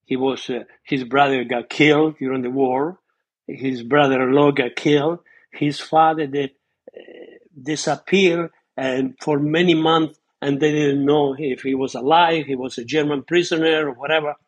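A man's voice: on the high side, fairly even intonation, normal speed, rough recording. Older Italian-accented man recalling his past. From Italy. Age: 80s. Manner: earnest, searching for words, frequent restarts. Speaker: guest, retired surgeon and author. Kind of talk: reminiscing interview storytelling.

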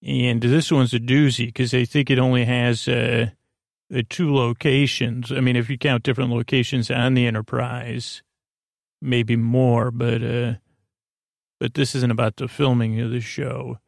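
A man with a creaky, gravelly voice hosts a sleep podcast, gently and reassuringly rambling in a meandering, halting monologue that trails off mid-thought. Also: medium pitch, little pitch movement, no echo, studio quality. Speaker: podcast host.

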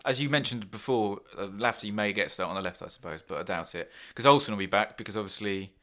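Bright-voiced teasing football podcaster. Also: male voice, lowish voice, quick pace. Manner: wry, teasing, laughs often. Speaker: podcast co-host. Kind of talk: casual football chat.

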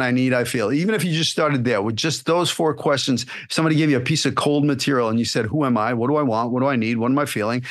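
An acting coach talks straight at his listeners, blunt and animated, tossing out rhetorical questions. His voice sits in a middling register for a man, and his talk is loose and casual, full of fillers and restarts. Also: mid-range voice. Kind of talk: solo podcast advice.

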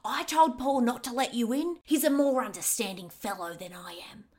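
A man's voice: very high voice, normal speed, darkish tone. A man playing a cold, taunting gangster. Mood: threatening. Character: menacing male gangster holding women hostage.

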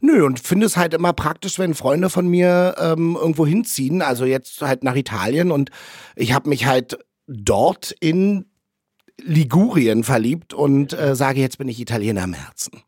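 A man's voice: fairly high pitch, animated, normal speed, darkish tone, breathy edge, no echo. Man from Berlin, podcast guest, playful storyteller, dry studio. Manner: playful, self-mocking storyteller. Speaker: actor and musician, podcast guest. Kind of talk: casual anecdotal chat.

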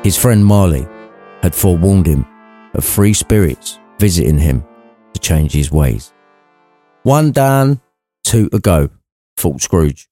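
A man's voice: fairly low pitch, dark tone. A man reading an irreverent storybook narration, cheeky and conversational. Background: background music.

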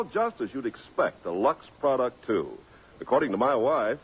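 A man's voice: medium pitch, slightly rough. An American man announcing and reading folksy scripted advertisements in the style of 1950s radio. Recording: muffled 1950s radio broadcast.

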